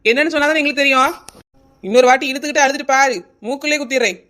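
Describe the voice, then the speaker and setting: A woman's voice: high-pitched, very fast, a crowd in the background, lightly acted. A woman playing a blunt, impatient village neighbour in a comic cartoon, with a southern Tamil Nadu accent. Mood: exasperated. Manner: blunt, scolding.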